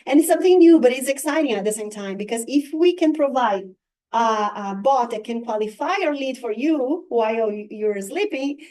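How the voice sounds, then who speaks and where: A woman with a Brazilian accent leads a training session in a high, light voice. She stops often, fills gaps with small hesitations and searches for the right English word. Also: animated, darkish tone, rough recording. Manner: halting, searches for words with many fillers. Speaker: real estate marketing trainer. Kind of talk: live training presentation.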